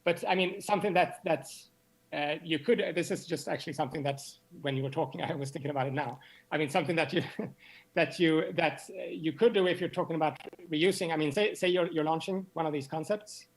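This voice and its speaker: A man speaking as an expert interview guest, explaining in a halting way and often repeating words as he searches for phrasing. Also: fairly high pitch, rough recording. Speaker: interview guest, astronomy professor.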